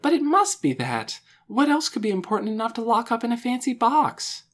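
A woman voicing an excitable, insistent and earnest young girl in a children's audiobook, eager and emphatic. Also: fairly low pitch, voice pushed up. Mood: excited, relieved. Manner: eager, emphatic, words rushing out. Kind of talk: children's audiobook character dialogue.

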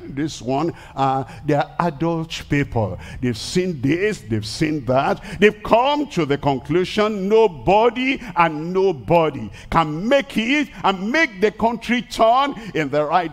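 An older man with a Nigerian accent preaching an emphatic crusade sermon into a headset mic on a large outdoor stage. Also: fairly high pitch. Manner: emphatic preaching, rising to shouted declarations. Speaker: pastor.

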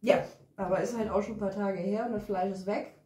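A woman's voice: mid-range voice, steady tone, a little fast, mellow. A young woman interviewing her father at a living-room table, asking playful, teasing questions. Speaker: interviewer and daughter. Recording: echoey room.

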